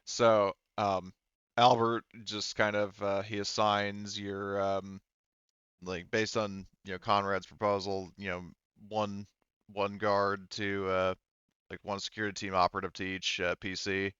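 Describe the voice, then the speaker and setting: Deep-voiced man running a tabletop game. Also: flat delivery, fairly bright tone, clear, resonant tone, no echo, muffled recording. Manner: halting, informal. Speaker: game master. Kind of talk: narrating a tabletop roleplaying game, thinking aloud.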